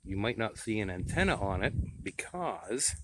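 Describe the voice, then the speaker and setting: A middle-aged man speaks in a fairly low voice with a plain-spoken, informal manner. He talks as if showing his things to viewers in a casual vlog. Some noise sits faintly behind him on the amateur recording. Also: very animated, unhurried. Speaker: vlogger showing his radios.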